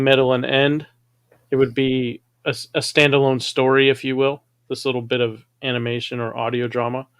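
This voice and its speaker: A man's voice: medium pitch, no room sound. A man hosting a casual livestream chat, explaining ideas at an easy conversational pace with fillers. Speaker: show host.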